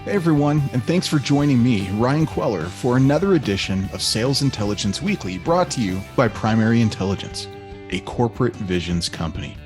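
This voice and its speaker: A man's voice: a little high, husky. A man hosting a sales podcast, friendly and laid-back, with repeats and restarts. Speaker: podcast host.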